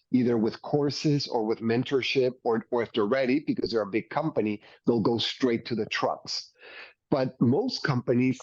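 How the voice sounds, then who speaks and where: A man's voice: mid-range voice, a little mellow, dry recording. A man from Mexico with a Mexican Spanish accent, speaking as an enthusiastic beverage executive in a business interview, often repeating words as he builds his points. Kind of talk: business interview, pitching plans. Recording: dull, dry sound.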